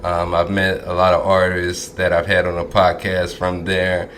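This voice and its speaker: A man hosting a podcast interview, talking easygoing with lots of filler pauses. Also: low-pitched, monotone, a bit bright, slight background noise. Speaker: podcast host. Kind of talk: casual interview chat. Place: Dallas.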